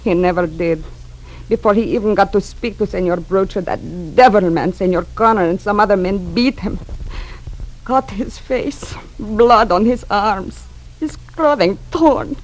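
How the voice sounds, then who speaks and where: A woman's voice: medium pitch, measured pace, slight background noise, close to an everyday voice, rough recording. An actress playing a distraught older mother with a Spanish accent in an old-time radio drama. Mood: desperate. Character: distraught older Spanish-speaking mother pleading for her son.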